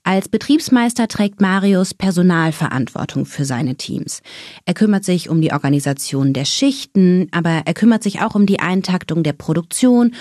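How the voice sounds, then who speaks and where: A woman hosts a podcast, reading a clear, scripted introduction and then prompting her guest with short questions. Her voice sits somewhat low, and the recording is close and dry. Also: expressive, normal speed, a little mellow, dry recording. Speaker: podcast host. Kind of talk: podcast interview.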